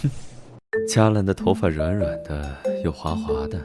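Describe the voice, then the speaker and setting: A man voicing a haughty, teasing, possessive young duke in an animated drama, with a smug, languid delivery. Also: low voice, normal speed, close to an everyday voice. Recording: loud music behind. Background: music.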